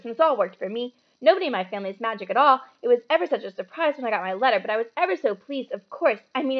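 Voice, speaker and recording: Storybook role: eager, bossy girl know-it-all played by a woman, high voice, emphatic and brisk, slightly muffled recording. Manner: fast, self-assured, emphatic talking.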